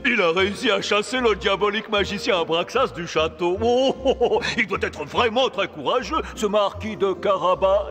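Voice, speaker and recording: A man's voice: high-pitched, moderate pace, few pauses, fairly bright tone. An actor playing a jovial, pompous, easily impressed older king in a French cartoon. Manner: jolly, pompous, exclaiming. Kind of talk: French dubbed cartoon acting. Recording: faint music behind the voice.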